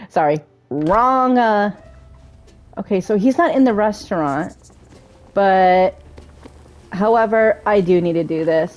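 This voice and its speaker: A woman's voice: mid-range voice, darkish tone. A woman giving casual gameplay commentary, chatting and thinking aloud as she goes. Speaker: game streamer playing and commentating. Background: game music.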